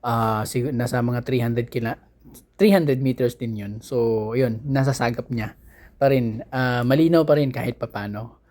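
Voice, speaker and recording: A man's voice: medium pitch, a little mellow. Casual Filipino man reviewing a product. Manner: conversational, halting, searching for words. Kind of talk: casual unboxing and review talk.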